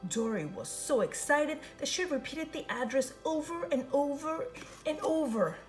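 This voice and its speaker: A woman reading a children's picture book aloud in an expressive, sing-song storytelling manner. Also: sits high, measured pace, faint music behind. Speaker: storybook reader.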